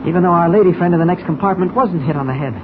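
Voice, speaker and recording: An actor playing a shrewd, mild-mannered newspaper reporter in a 1940s radio serial, speaking with urgent, deliberate emphasis. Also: male voice, high-pitched, close to an everyday voice. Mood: intrigued. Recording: tinny, noisy old radio recording.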